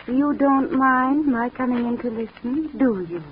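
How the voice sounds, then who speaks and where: An actress playing a naive, clinging sister who is timid, trusting and eager to please, in 1940s radio drama style. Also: female voice, high-pitched, unhurried. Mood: adoring. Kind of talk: 1940s radio mystery acting. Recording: tinny, noisy 1940s radio recording.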